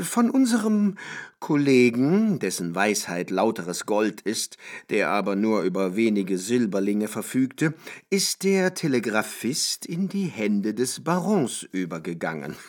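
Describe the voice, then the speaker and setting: An actor playing a pompous, chatty academic who savours ornate, learned phrases in a German literary radio drama. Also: male voice, on the low side, heavily acted. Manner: ornate, hesitant, savouring his own erudite phrases.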